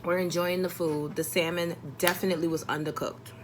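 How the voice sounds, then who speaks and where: A woman chatting casually as a vlogger, telling a personal story in an expressive, exasperated, sweary and comedic way. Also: on the low side, a little fast, noisy background.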